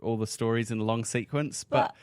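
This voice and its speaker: A man's voice: medium pitch, steady tone, brisk, darkish tone, clear, resonant tone, no room sound. A man with an Australian accent, hosting a casual podcast chat and guiding the discussion. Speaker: podcast host leading the discussion.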